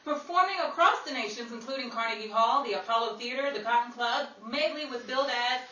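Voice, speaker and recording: A woman's voice: fairly high pitch, fairly quick, sharp tone, dull audio. A woman hosting an amateur audio show, presenting to listeners. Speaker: show host. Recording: distant echoey room, noisy with crowd sounds.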